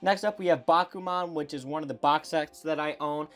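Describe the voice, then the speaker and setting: A man's voice: on the high side, fairly quick, soft background music. A young adult man giving a casual, chatty showcase to viewers with an enthusiastic, effusive manner. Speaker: manga collection YouTuber.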